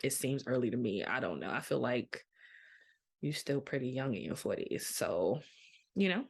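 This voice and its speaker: A woman in her thirties hosting a podcast, telling a personal story in a casual, chatty way with lively quoted asides. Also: very deep voice, moderate pace, gravelly, breathy edge. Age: 30s. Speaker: podcast host. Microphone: podcast microphone.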